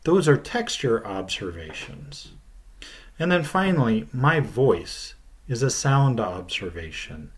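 Slow, deliberate male teacher. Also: mid-range voice, sing-song. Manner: slow, deliberate explaining with falling phrase ends. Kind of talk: recorded video lecture. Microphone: webcam at desk.

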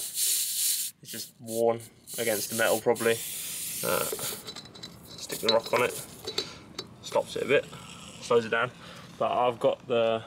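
Young male sailing vlogger, casual on-the-job commentary, action-cam audio with clear water and wind noise. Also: mid-range voice. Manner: chatty, running commentary while working on a boat. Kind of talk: casual vlog narration. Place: outdoors on a sailboat and boat ramp. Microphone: action camera. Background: boat, water and wind noise.

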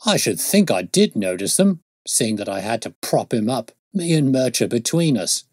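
Storybook police constable, read by a male narrator: plain working man, rough grammar, easily put out, dry close sound. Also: mid-range voice, very animated, normal speed, no room sound. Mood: irritated, aggrieved. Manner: colloquial, ungrammatical working-class speech. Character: uneducated, defensive London police constable, mystified and aggrieved.

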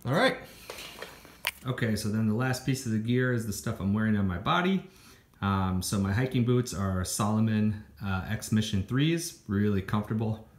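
Young man, lowish voice, casual gear rundown, lots of ums and restarts, indoors at home. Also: normal speed. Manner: casual listing with many fillers and restarts. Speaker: hiker showing his gear. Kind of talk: casual gear walkthrough voice-over.

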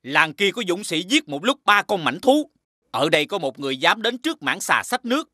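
A man's voice: high voice, big pitch swings, a little fast, pushed and bright, no echo, studio quality, heavily acted. An actor playing a stately, commanding king in a fairy-tale cartoon. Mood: resolute. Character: authoritative, magnanimous king of a folk tale. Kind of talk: animated fairy-tale dialogue.

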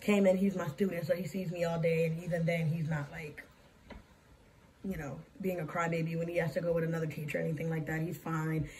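A young woman with a hoarse, scratchy voice, chatting casually in a rambling way through a life-update vlog, recorded on a phone at arm's length in a small room. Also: low voice, level tone, brisk, a little mellow, recorded from a distance. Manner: rambling, filler-heavy, talks with her hands. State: hoarse, losing her voice. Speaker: vlogger and daycare lead teacher. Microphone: phone camera at arm's length.

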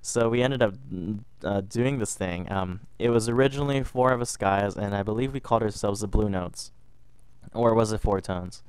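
A man's voice: lowish voice, moderate pace, smooth, dry recording. A young man from Canada, a little sick, telling a story casually over gameplay, rambling and restarting often. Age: young adult. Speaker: gaming vlogger.

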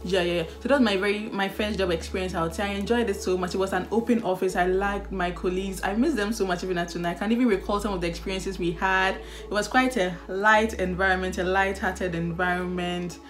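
A young woman with a Ghanaian accent chats casually to her viewers. She tells her stories animatedly and laughs or sighs along the way. Her pitch sits in a middle range, and music plays clearly behind her. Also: steady tone, fast talker, hardly any pauses. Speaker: vlogger. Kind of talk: casual chat.

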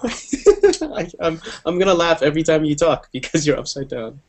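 A man's voice: fairly high pitch, sing-song, moderate pace. A young man teaching an online English class on a video call, upbeat and encouraging, often saying cool. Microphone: earphone mic on a video call.

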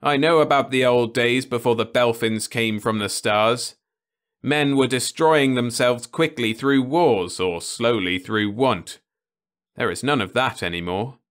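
A man's voice: mid-range voice, measured pace, a bit bright, pitched above the performer's own voice, lightly acted. A man voicing an earnest young man insisting everyone is content, in a storybook reading. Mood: insistent.

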